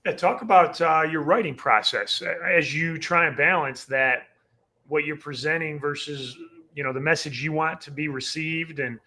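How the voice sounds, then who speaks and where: A man's voice: fairly high pitch, moderate pace, bright, forceful tone. A man hosting a podcast, asking supportive follow-up questions. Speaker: podcast host. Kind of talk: interview questions.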